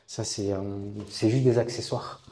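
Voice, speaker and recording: A man in his late thirties from southern France, a guest telling his own story in a thoughtful, halting way with many pauses and restarts. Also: mid-range voice, fairly even intonation, mellow, echoey room. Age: late 30s. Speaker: interview guest. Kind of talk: reflective personal storytelling.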